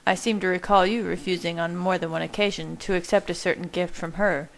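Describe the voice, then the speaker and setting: A woman voicing a kindly, wise vampire father in audiobook dialogue, calm and reassuring. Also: fairly low pitch, fairly quick, gravelly, rough recording. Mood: warm, reassuring.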